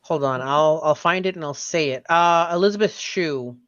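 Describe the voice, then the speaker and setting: High-voiced male co-host, casual and conversational, on muffled lo-fi audio. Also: moderate pace, a bit bright, clean tone, no room sound. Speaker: podcast co-host. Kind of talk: casual chat.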